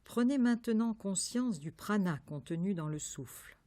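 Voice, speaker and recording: A woman leading a guided yoga meditation, giving calm, measured instructions with pauses between phrases. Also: medium pitch, no echo. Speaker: yoga nidra meditation teacher.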